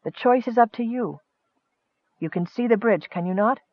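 Man voicing a hushed, serene goddess. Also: high voice, no echo, highs cut off, lightly acted. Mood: solemn calm. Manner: soft, measured, solemn. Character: serene, gentle goddess, solemn and detached.

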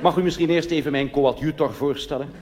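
A man's voice: a little high, fairly even intonation, fast talker, darkish tone, slight background noise. A man performing a live comic monologue as a cabaret artist, conversational with wry asides, in a reverberant theatre. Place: theatre stage.

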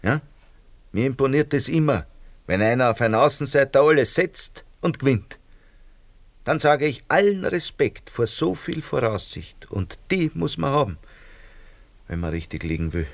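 An actor playing a middle-aged, self-pitying, fawning Austrian shopkeeper in a radio drama, rambling and theatrical with an Austrian accent. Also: male voice, medium pitch, big pitch swings, moderate pace, creaky, no echo. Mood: pompous, ingratiating.

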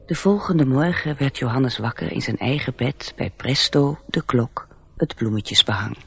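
A man's voice: medium pitch, animated, moderate pace, creaky, fairly bright tone, husky, soft background music. A man narrating a story in a radio drama, reading scripted storybook prose.

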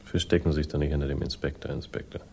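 An actor playing a world-weary male sleuth in a German radio crime drama, speaking with dry, laconic irony and a gravelly voice. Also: very deep voice, little pitch movement, racing, darkish tone, slight background noise. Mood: needling, skeptical. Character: sardonic, world-weary male crime sleuth telling his own story. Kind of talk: 1960s German radio crime drama, first-person narration and dialogue.

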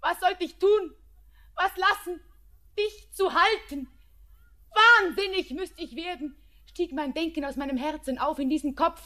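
An actress playing an anguished, devoted young bride in a classic German radio drama, with halting, impassioned poetic diction. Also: female voice, extremely high pitch, pushed and bright, full character voice. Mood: anguished pleading. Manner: halting, breathy, impassioned declamation.

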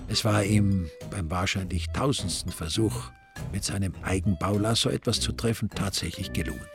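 An actor narrating a crime radio drama as a laconic, dryly ironic Austrian storyteller. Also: male voice, low voice, fairly even intonation, moderate pace. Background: music.

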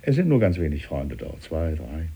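A man playing a suave, calculating French statesman in a German radio drama, urbane, ironic and husky. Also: rumbling low, big pitch swings, moderate pace, highs cut off, heavily acted. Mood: suavely ironic. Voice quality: husky, dark.

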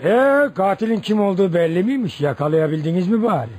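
Radio drama: gossipy village headman. High-pitched, folksy, questioning, light music underneath. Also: expressive, fast talker, darkish tone. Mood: curious. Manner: questioning, full of folksy interjections. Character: gossipy, inquisitive village headman, sociable and credulous.

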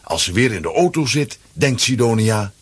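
A man's voice: lowish voice, very animated, normal speed, very noisy. A man narrating a scripted children's audio play as its storyteller. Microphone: close, dry studio microphone.

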